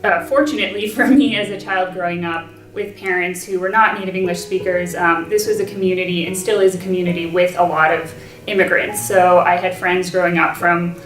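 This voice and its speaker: A woman in her twenties, a graduate student, sharing her personal story in an earnest, reflective way with frequent pauses and ums. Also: on the low side, fairly even intonation, distant mic. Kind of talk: personal testimony. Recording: roomy, from off-mic, soft music.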